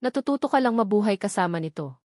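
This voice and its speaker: A serious, soft-spoken young billionaire as a woman narrator acts him out for an audio romance. He is brooding and wounded, confiding with earnest weight. The sound is dry and close. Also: mid-range voice, lively intonation, fast, dry recording, lightly acted. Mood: pained, confiding.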